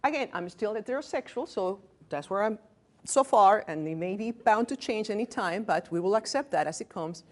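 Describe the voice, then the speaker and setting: A woman's voice: mid-range voice, lively intonation, moderate pace. A woman in her late thirties from Venezuela with a Venezuelan Spanish accent. She is a physician giving a training talk to a small group in a room, candid and self-deprecating with joking asides, and she often restarts words. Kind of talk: lecture to a workplace group.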